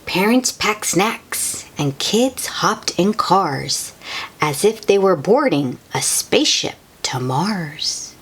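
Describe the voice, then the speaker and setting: A woman reading a picture book aloud to young children in an expressive, sing-song way, with chatty asides to the listeners. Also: lowish voice, slow, slight background noise. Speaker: children's read-aloud host.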